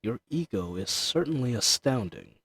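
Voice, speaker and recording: A man's voice: medium pitch, very animated, a bit bright, breathy edge, no room sound, full character voice. A man playing an ancient dragon in roleplay audio, imperious and teasing, dangerous yet tender, speaking to the listener in formal, old-fashioned phrases. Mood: amused, condescending. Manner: slow, haughty, teasing, formal archaic diction.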